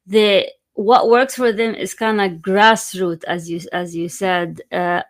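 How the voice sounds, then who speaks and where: A woman's voice: mid-range voice, fairly even intonation, takes it slowly. A woman hosting an interview in a casual, informal, chatty way. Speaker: podcast host and journalist.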